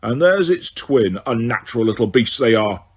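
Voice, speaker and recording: A man voicing a spiteful old male house elf who mutters in a hoarse, deep, croaking voice. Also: expressive, normal speed. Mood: grudging. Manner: muttering under the breath.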